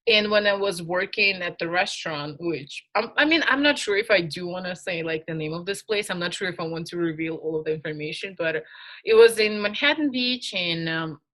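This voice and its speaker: A woman's voice: lowish voice, fairly quick. A young woman from Russia, a native Russian speaker, co-hosting a casual podcast and chatting frankly and animatedly. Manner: frank, animated, laughs easily. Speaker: podcast co-host.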